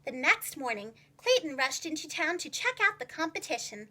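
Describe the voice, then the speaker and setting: A woman telling a children's story aloud as a preschool teacher, with expressive, carefully enunciated delivery. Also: sits high, moderate pace.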